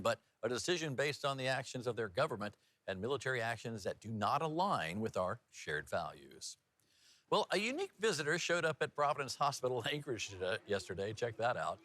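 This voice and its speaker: Local news anchor, male, American. Animated, swinging intonation, mid-range voice, upbeat with easy banter. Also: medium pitch, slight background noise. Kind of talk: local TV newscast reading with casual banter.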